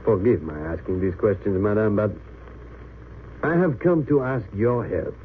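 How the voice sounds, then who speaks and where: A man's voice: on the low side, very animated, normal speed. An actor playing an earnest, cautious Belgian man who politely seeks help, in an old radio drama. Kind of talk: 1930s-40s radio drama acting. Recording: noisy narrow-band old radio recording.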